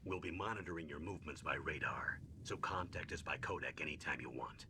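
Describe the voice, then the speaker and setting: An actor playing a stern, steady older military commander in a video game, with a measured, authoritative delivery. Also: male voice. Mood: grave. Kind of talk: scripted video game voice acting. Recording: clearly noisy game audio with low rumble.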